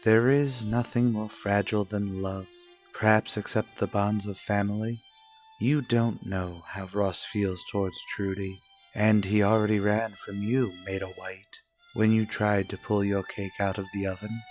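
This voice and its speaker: A man's voice: fairly low pitch, little pitch movement, unhurried, lightly acted. A man playing an ominous, knowing narrator of a radio soap, giving dramatic scripted narration over music.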